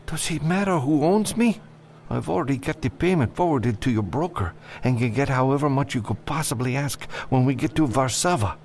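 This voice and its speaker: A man voicing a desperate enslaved father who pleads haltingly for help in an audiobook story. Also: medium pitch, expressive, darkish tone, slight background noise, voice pushed up, close to an everyday voice. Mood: anxious insistence.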